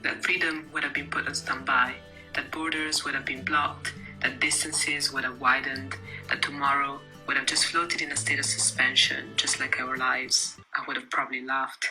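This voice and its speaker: A woman's voice: very deep voice, flat delivery, normal speed, rough recording. A woman reading a scripted first-person literary piece aloud as narration. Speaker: reader of a literary text. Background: music.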